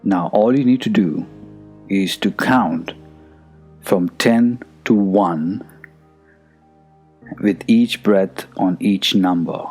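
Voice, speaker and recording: A man guides a meditation in a fairly low voice. He speaks very slowly and soothingly, at times hushed, leaving plenty of room between phrases. Faint music plays behind him. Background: soft music.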